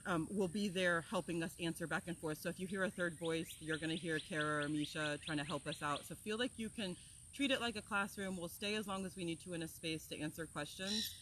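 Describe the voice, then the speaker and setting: A woman from Florida, an herbal teacher, talking in an energetic, passionate way as she opens an outdoor class. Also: lowish voice, fairly quick, a little hiss or hum. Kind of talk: casual talk to open an outdoor class. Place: outdoors among plants, with birds and insects.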